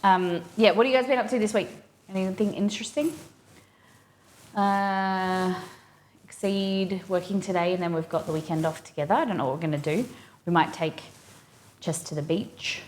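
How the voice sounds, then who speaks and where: A woman's voice: mid-range voice, normal speed, a little mellow, a little hiss or hum. A woman with an Australian accent, hosting a casual cooking live stream and chatting informally with viewers as she thinks aloud.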